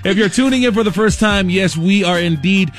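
A man's voice: sits high, fairly quick, faint music behind. A man hosting a morning radio show, bantering playfully and teasing his cousin. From Samoan heritage.

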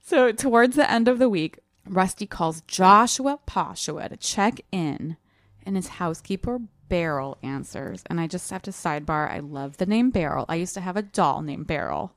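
A woman's voice: lowish voice, normal speed, no room sound, studio quality. A woman co-hosting a podcast in a casual, chatty style, laughing easily as she talks. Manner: laughs easily while talking. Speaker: podcast co-host recapping a novel. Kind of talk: casual chat with read-aloud passages.

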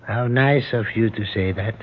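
An actor playing a kindly, talkative old European immigrant watchmaker with a European accent and courteous, halting foreign phrasing, in 1960s radio drama style. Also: male voice, on the low side, natural voice. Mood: friendly. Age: older. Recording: dull, noisy 1960s radio recording.

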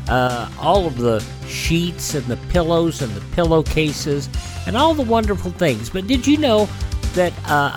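A man hosting a radio show, announcing and reading ads in a folksy selling manner with emphatic pauses. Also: a little high. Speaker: radio host. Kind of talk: scripted radio announcing and host-read ads.

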